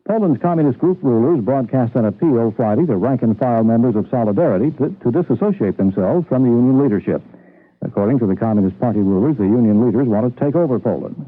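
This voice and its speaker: An American man reading a scripted radio newscast as its anchor. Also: mid-range voice. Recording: muffled narrow-band old radio broadcast.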